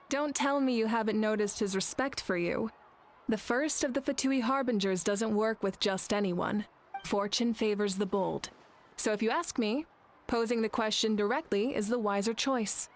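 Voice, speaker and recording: A woman playing a composed, gracious young female leader in a video game, speaking in a measured, articulate way. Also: fairly high pitch, slight background noise, lightly acted. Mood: thoughtful, teasing.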